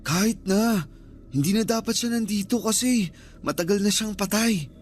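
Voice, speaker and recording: A man's voice: high voice, moderate pace, slight background noise, lightly acted. A Filipino man acting in a radio drama as a nervous guest who is easily spooked, with an uneasy, questioning manner.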